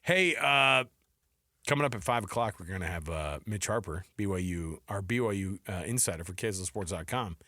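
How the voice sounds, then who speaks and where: A man's voice: deep voice, expressive, a little fast, pushed and bright, no room sound, clean studio sound. A man in his forties or older hosting a sports talk show, bantering casually and repeating himself for emphasis. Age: 40s or older. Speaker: sports radio show host.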